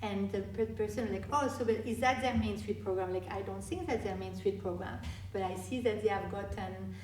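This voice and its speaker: A woman, a guest speaker answering audience questions in a halting way with many restarts. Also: mid-range voice, a little mellow, a little hiss or hum. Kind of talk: live Q&A presentation. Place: meeting hall. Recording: echoey hall from far off.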